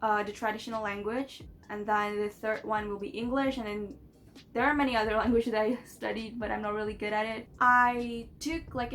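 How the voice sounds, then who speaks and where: Young indonesian woman talking english w thick accent, chatty n giggly, bit high, soft music under. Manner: chatty, giggly, many fillers like "like" and "you know". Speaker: vlogger. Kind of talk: casual chat to camera.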